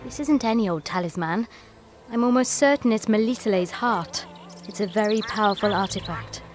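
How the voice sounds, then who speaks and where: A woman's voice: medium pitch, moderate pace, faint music behind, natural voice. Woman playing a cool, proud village herbalist. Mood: mysterious, knowing. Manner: cool, assertive. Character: guarded, proud herbalist woman rumoured a witch. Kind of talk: dramatic video game acting.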